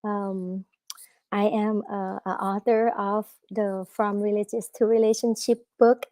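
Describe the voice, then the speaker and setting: A woman's voice: medium pitch, flat delivery, dark tone, dry recording. A woman, an author giving a live talk at a book festival, hesitant with many fillers. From Thailand. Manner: hesitant, many fillers, halting non-native English.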